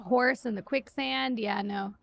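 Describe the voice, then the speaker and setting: Creaky-voiced young woman streaming. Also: on the high side, a little slow, no echo. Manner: animated, wry, sweary. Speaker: game streamer. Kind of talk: casual live stream chat while gaming.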